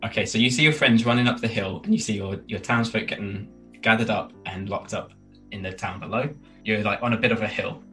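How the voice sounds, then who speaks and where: A man's voice: lowish voice, fairly quick, fairly bright tone, recorded from a distance, faint music behind. A man running a tabletop game as game master, narrating the scene and joking with the players. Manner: laughs often, joking.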